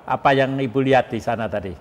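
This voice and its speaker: A man's voice: medium pitch, fast. A man hosting a conversational broadcast interview, prompting his guests with questions and short acknowledgements. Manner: prompting, frequent backchannels. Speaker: talk show host. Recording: reverberant hall, noticeable background noise.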